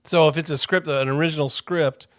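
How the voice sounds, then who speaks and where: Casual male podcast host, slightly higher voice. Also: fairly even intonation, fairly quick, dry recording, clean studio sound.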